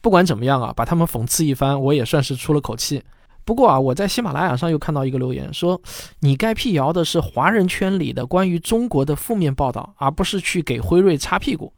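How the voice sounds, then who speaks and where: A man's voice: fairly high pitch, animated, fast, vocal fry, no echo, studio quality. A man speaking as host, giving a reflective personal commentary.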